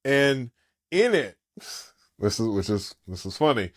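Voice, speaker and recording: A man's voice: mid-range voice, very animated, unhurried. Enthusiastic man in his thirties. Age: 30s. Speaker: podcast co-host. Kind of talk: casual fan chat.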